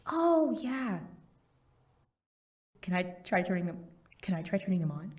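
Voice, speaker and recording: A woman's voice: fairly low pitch, big pitch swings, a little slow, a little mellow, breathy edge, heavily acted. A woman playing a young superhero sidekick in an audio drama, nervous but eager, speaking dialogue and first-person narration. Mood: focused, unsure.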